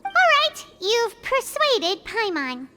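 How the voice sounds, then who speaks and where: A woman voicing a small, childlike, chirpy fairy companion in a video game, with a squeaky voice. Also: wildly swooping pitch, slow, over loud music, exaggerated voice. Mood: won over. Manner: speaks of self in third person.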